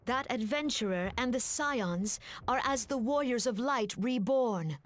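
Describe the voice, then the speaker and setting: A woman playing an earnest, resolute noblewoman in a fantasy game, speaking formally and pleadingly. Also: a little high. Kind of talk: scripted fantasy game acting.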